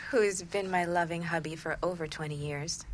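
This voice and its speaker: A middle-aged woman co-hosting a relationship talk show on the radio as a coach, presenting in an enthusiastic, expressive way that moves between script and chat. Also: fairly low pitch, moderate pace, slight background noise.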